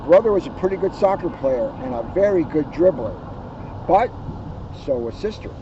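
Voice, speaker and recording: Male storybook reader, high register, playful emphatic delivery, slightly dull outdoor recording with vehicles behind. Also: animated, moderate pace. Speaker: police officer reading a children's book aloud. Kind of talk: read-aloud storytelling with joking asides. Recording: muffled, outdoors with vehicle noise. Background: outdoor ambience, passing cars.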